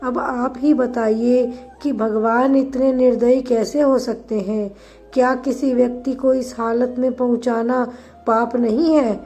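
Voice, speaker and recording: A woman reading an earnest, slow-spoken monk. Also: a little high, fairly even intonation, warm tone, natural voice. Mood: plaintive. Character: naive devout male Buddhist monk, pondering and plaintive.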